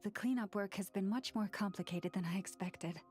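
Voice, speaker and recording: A woman voicing a gentle, thoughtful young spiritual leader in a video game, speaking in a measured, formal way. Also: medium pitch, faint music behind, close to an everyday voice. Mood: earnest. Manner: measured, soft-spoken, formal.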